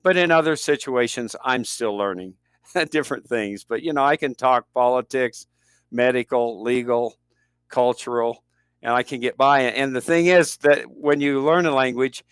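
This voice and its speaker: Older american guy talking about teaching languages, smooth resonant voice, dry sound. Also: medium pitch, moderate pace, no room sound. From United States. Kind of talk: interview conversation.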